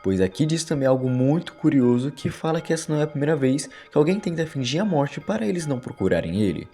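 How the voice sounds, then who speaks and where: A man's voice: fairly high pitch, animated, fast talker, mellow, soft background music. A man with a Brazilian Portuguese accent, hosting and narrating explanatory commentary in a wordy, conversational way. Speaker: video narrator and host. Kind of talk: explanatory commentary over horror videos.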